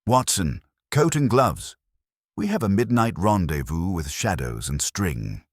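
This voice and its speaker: A man voicing a brisk, imperious Victorian detective from a mystery story, sardonic and clipped. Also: sits low, big pitch swings, no echo, studio quality, pitched above the performer's own voice, full character voice. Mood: eager.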